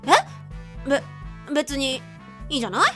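A woman's voice: high voice, normal speed, bright, forceful tone. A woman playing a teenage girl in a radio drama, a childhood friend who hides her feelings, with music behind. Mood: flustered. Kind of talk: amateur radio drama acting.

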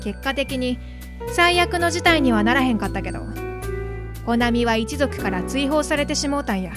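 A woman's voice: high voice, expressive, moderate pace. A woman voicing a blunt, teasing woman with a Kansai accent in an audio drama. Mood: matter-of-fact. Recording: music under the voice.

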